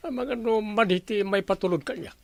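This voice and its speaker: Creaky, folksy Ilocano village captain. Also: male voice, sits high, brisk, dry recording. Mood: musing. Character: folksy male village captain in a comedy drama. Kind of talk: radio comedy drama acting.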